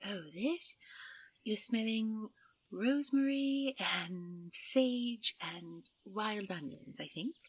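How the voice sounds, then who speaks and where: A woman playing a gentle, refined and easily flustered fairy princess in a fantasy audio roleplay, polite and soft-spoken. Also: fairly high pitch, heavily acted. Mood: gentle, chatty. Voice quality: breathy, soft. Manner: genteel, polite, stammering when nervous.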